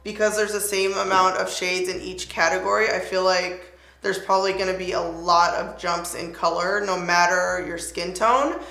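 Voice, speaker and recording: A young woman with a raspy voice, chatting excitedly as she reviews a makeup product. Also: on the low side, moderate pace, hardly any pauses, reverberant. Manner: chatty, excited, filler-heavy. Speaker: beauty vlogger.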